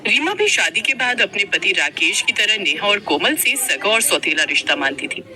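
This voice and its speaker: Female narrator for scripted moral tales; forceful, sharp tone with lively melody. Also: medium pitch, moderate pace.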